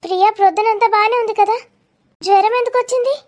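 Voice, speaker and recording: A woman acts out village women of an animated family drama, from young friends to a worried mother. The voice is extremely high and small in colloquial dialogue. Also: quick pace. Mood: worried, scolding.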